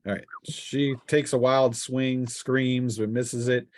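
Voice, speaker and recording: A man's voice: medium pitch, flat delivery, slow, no echo. A man acting as game master, casually narrating a tabletop role-playing session and calling out turns.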